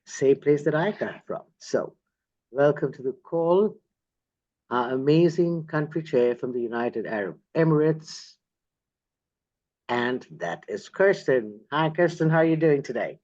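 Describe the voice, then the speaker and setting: A man's voice: a little high, expressive, unhurried, darkish tone, highs cut off. A man hosting an online interview over a video call, enthusiastic and effusive. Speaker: host.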